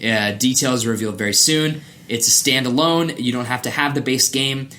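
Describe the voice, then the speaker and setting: A man's voice: medium pitch, moderate pace, sharp tone. A man hosting a podcast in a casual, conversational style, freely giving his opinions. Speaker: podcast host. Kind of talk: casual chat about games and movies.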